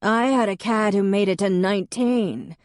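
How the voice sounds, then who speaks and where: A woman reading an audiobook, voicing a blunt older woman with a booming voice. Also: moderate pace, a little mellow, dry recording, studio quality, close to an everyday voice.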